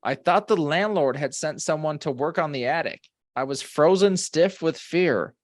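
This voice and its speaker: A man's voice: fairly high pitch, lively intonation, moderate pace, dry recording. Guy w a lighter voice reading ghost stories on a comedy podcast, wry, really dry room sound. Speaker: podcast co-host. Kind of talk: reading ghost stories aloud with joking asides.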